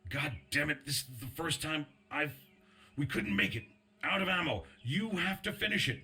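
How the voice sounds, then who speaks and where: Intense, animated soldier, bright forceful voice. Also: male voice, a little high, moderate pace, husky, full character voice. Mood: desperate, urgent. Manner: tense, forceful, emphatic. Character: urgent, earnest male soldier, a resistance fighter leading men. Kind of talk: video game cutscene acting.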